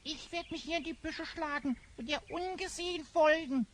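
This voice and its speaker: A woman's voice: high voice, normal speed, a little hiss or hum, close to an everyday voice. A woman playing a small, eager elf goblin sidekick in a theatrical fantasy audio drama. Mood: eager, plotting.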